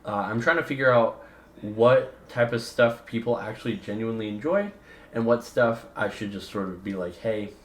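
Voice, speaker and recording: A man's voice: fairly low pitch, moderate pace, fairly bright tone. A man giving a casual, opinionated video essay, talking emphatically and informally with slang in a rambling way. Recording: roomy echo with background noise.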